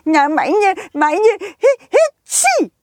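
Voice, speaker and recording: A woman's voice: very high-pitched, sing-song, drawn-out words, background noise, voice pushed up, exaggerated voice. A woman voicing a little snowman in a children's audio play, whiny, fretful and childlike, stammering and breaking into sneezes. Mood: panicky.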